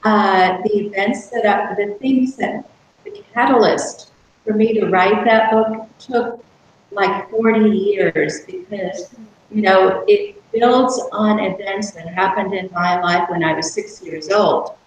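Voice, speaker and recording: Echoey middle-aged woman, halting author. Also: medium pitch, a little hiss or hum. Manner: halting, thoughtful, many restarts. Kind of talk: casual interview on a video call. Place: room indoors. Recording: very echoey room.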